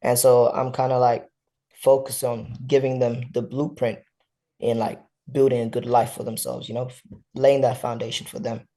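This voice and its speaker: A man's voice: mid-range voice, little pitch movement, normal speed, warm tone. A young man from South Sudan, a fighter and guest on a podcast, talking casually in laid-back slang and tagging on "you know" often. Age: young adult. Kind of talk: casual interview.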